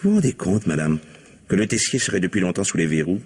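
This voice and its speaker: Deep, husky police commissioner. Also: male voice, sing-song, quick pace, darkish tone, full character voice. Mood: probing. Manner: polite, dry, questioning. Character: patient, probing male police commissioner. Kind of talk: French mystery radio play acting.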